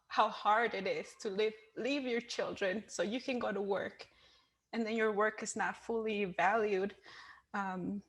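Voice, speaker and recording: A woman giving an earnest, personal reflection to a group, pausing as she finds her words. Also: medium pitch, a bit bright. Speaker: monologue writer and panel participant.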